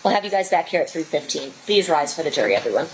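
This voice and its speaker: Very fast-talking, low-voiced woman judge. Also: very animated. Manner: brisk, rote delivery. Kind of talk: formal courtroom admonishment, recited. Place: courtroom. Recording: faint courtroom noise.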